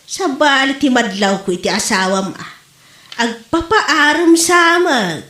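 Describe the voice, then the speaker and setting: A woman's voice: high-pitched, animated, a little slow, sharp tone, full character voice. Woman acting a nosy, scolding Ilocano mother in echo. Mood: suspicious. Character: nosy, scolding older mother stirring up suspicion. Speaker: actress in a comedy drama. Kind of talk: Filipino audio comedy drama acting. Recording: echoey room.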